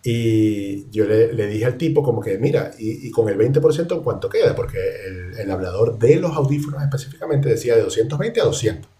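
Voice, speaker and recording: A man with a Latin American Spanish accent speaks in a dark, relaxed tone at a middle pitch. He chats and tells anecdotes casually, sitting some distance from the microphone. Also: brisk, few pauses. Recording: distant from the mic.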